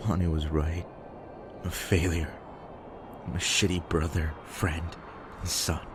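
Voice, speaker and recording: A man playing a guilt-ridden, self-loathing young survivor in an emotional audio drama, with a halting, bitter delivery. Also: deep voice, flat delivery. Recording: prominent music behind the voice.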